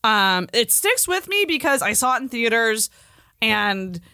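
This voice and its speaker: A woman co-hosting a podcast in casual chat, expressive and quick to swear. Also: a little high, clean tone, no echo, clean studio sound. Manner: expressive, profane. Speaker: podcast co-host.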